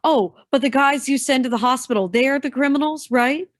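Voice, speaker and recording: A woman's voice: high voice, fairly quick, no echo, studio quality, natural voice. A woman playing a bitter, defiant young henchwoman in a staged play reading. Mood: angry, accusing.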